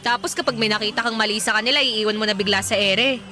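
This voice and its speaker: A woman's voice: medium pitch, quick pace, bright, forceful tone, soft background music, lightly acted. An actress playing a sassy, protective young Filipino woman who teases and scolds in a radio drama. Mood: scolding. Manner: teasing, scolding, slangy.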